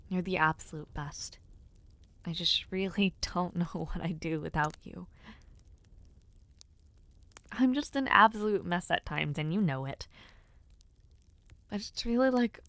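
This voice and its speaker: A young woman voicing a lively, affectionate young noblewoman who hopes to become a knight, speaking formally with soft hesitant fillers. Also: medium pitch, no echo. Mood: tender. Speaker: audio roleplay performer. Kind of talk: intimate audio roleplay.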